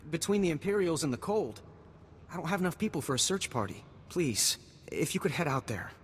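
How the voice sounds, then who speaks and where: A man's voice: a little high, big pitch swings, fairly quick. An actor playing an earnest, anxious male army lieutenant in a video game, urgent and sometimes faltering. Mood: worried, pleading. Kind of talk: scripted video game cutscene acting. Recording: long reverberant hall, faint background noise.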